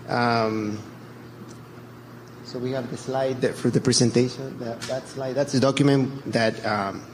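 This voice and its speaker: A middle-aged man from Chile, a church elder giving an earnest, halting reflection to his congregation, with many fillers and restarts. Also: mid-range voice, slight background noise, poor audio.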